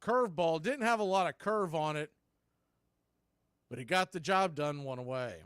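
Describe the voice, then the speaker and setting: A man calls a baseball game for a fan radio stream, his fairly high voice smooth and resonant on a close, dry mic. He moves between pitch calls and casual, opinionated asides. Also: normal speed, a bit bright, no room sound. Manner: conversational, opinionated fan commentary. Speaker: fan radio play-by-play host. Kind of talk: play-by-play baseball commentary.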